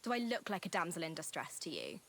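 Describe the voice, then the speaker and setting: A cocky young female rebel from a video game, lowish in pitch, defiant and brash. A faint hiss sits behind her voice. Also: wildly swooping pitch, fast, fairly bright tone, exaggerated voice. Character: rebellious, cocky young woman, drone racer and tinkerer. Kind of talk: video game cutscene acting.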